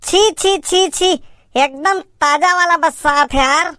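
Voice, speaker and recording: A man voicing a fussy, argumentative villager in a cartoon comedy, with a Chhattisgarh accent, a pitched-up effect and insistent, emphatically repeated words. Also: slow pace, bright, forceful tone, no echo, studio quality, heavily acted. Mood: disgusted. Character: stubborn, fussy, argumentative male villager on a picnic.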